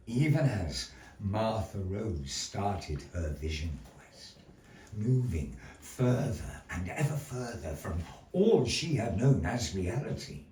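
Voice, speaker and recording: A man narrating a story theatrically as a live storyteller, declamatory and full of weighty pauses. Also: fairly low pitch, warm tone, slight background noise. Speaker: storyteller, puppet show narrator. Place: indoor gallery performance space. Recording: echoey gallery room, distant mic.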